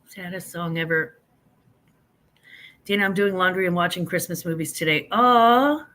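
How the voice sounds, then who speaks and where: Chatty grandmother with a bright voice. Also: lowish voice, moderate pace. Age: older. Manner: chatty, rambling, self-deprecating, reads and answers chat. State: stuffy from allergies. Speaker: livestream host. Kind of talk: casual livestream chat.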